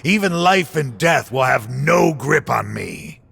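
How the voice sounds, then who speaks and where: A man's voice: medium pitch, lively intonation, slow, creaky, fairly bright tone, slight background noise, heavily acted. An actor playing a bitter, regretful middle-aged former lawman turned video game villain, with weary, brooding delivery. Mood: menacing. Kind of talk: dramatic video game voice acting.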